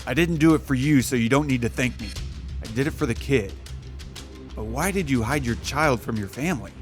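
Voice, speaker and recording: A man's voice: mid-range voice, animated, fairly quick, soft background music, lightly acted. An actor playing a composed, commanding wealthy young father and corporate boss, cool and authoritative, in an audio drama. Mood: aloof.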